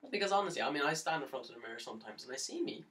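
Recorded at some distance from the mic, a man acts out a lovesick young man coming apart in a stage monologue. His delivery is halting and stammering, with big swings in pitch. The tone is bright and tense, full of manic despair. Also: animated, close to an everyday voice. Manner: halting, stammering, nervous laughs breaking into anguished outbursts. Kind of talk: dramatic monologue for an audition tape. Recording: roomy pickup, distant from the mic.

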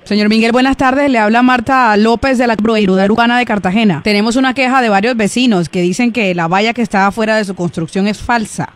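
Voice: medium pitch, quick pace, few pauses, lightly acted. A performer voicing a stern, formal, insistent female municipal official on a prank phone call. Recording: phone call with faint noise.

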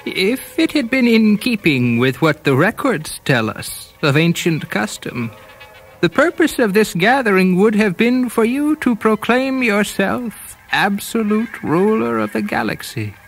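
A slow, creaky, solemn challenger. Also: male voice, sits high, big pitch swings, faint music behind, exaggerated voice, rough recording. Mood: grave, defiant. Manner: slow, formal, deliberate. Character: solemn, unyielding sage-like challenger of a galactic conqueror. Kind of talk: radio drama acting.

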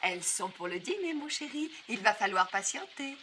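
A woman voicing a motherly cartoon hen, fond but firm, gently instructing. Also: mid-range voice, very animated, moderate pace, background noise, lightly acted. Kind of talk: cartoon dubbing.

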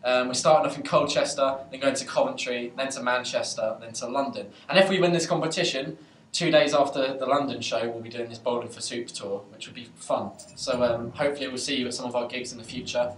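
A man's voice: mid-range voice, a little fast, hardly any pauses, a little hiss or hum. A young man from a band, rambling and jokey as he promotes a competition in a casual video. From United Kingdom. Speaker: band member. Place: dark room, band seated on a couch. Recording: echoey room from far off.

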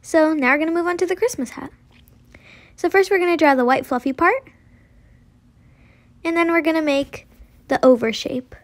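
A young girl with a high voice talks through a drawing tutorial step by step. Her wording is simple and informal, as if showing a friend what to do next. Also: moderate pace, long pauses. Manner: simple, informal instruction with frequent "like".

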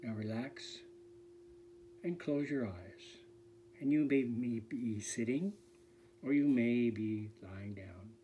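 A man, a fitness instructor, leads a guided meditation in a slow, soft, soothing voice that often sinks to a near whisper. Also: medium pitch, a little hiss or hum.

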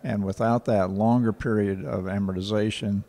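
An older man, answering interview questions in a measured, factual way with frequent pauses. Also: lowish voice, steady tone, mellow. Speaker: rural water association director. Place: indoor office in front of a painting. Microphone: handheld station mic.

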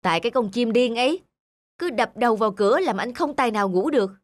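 Người chồng trẻ hay cằn nhằn. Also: male voice, very high-pitched, animated, quick pace, no room sound. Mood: irritated. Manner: grumbling, complaining. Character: discontented young husband, envious and easily irritated. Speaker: voice actor. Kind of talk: cartoon dialogue dub.

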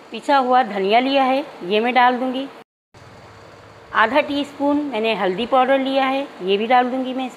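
Woman cooking host, bright high voice, steady and methodical, home-kitchen recording. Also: slight background noise, rough recording. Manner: calm, methodical, addresses viewers directly. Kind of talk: step-by-step cooking instruction. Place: home kitchen, hands only on camera.

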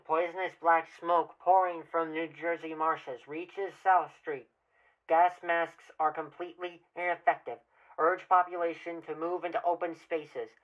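Man playing a high-voiced, muffled radio operator. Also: natural voice. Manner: clipped, telegraphic delivery. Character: male military radio operator relaying dire emergency alerts. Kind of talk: old-time radio drama dispatches. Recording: dull lo-fi radio sound.

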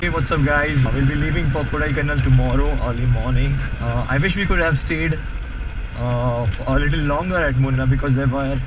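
A man's voice: medium pitch, brisk. A young man with an Indian accent talking candidly and casually, vlogging while riding a motorcycle outdoors. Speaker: motovlogger. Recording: loud motorcycle engine and outdoor noise.

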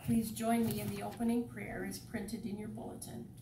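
A woman's voice: medium pitch, level tone, moderate pace, warm tone. A woman leading a church service in a folksy, informal way, as a pastor speaking to a congregation. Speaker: worship leader, pastor. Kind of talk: church worship service, informal welcome, prayer and scripture reading. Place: church sanctuary during a service. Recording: noisy, echoey church, distant microphone.

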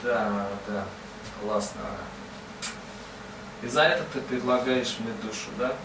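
A man's voice: mid-range voice, fairly even intonation, slow. A man voicing old, bearded Confucius as a folksy sage, rambling and asking "right?" after every phrase. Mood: earnest, wise. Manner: rambling, repeats "да?" and "вот" constantly. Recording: roomy, distant mic, ambient noise.

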